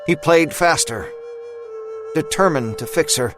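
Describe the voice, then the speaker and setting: A man's voice: a little high, measured pace, music in the background. A man narrating a scripted audio drama in a dramatic storytelling style.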